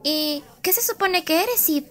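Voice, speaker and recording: A woman's voice: very high-pitched, lively intonation, measured pace, fairly bright tone, heavily acted. A woman with a Latin American accent voicing a perky, bossy young female cat with showy flourish in a cartoon dub. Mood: playful showing off.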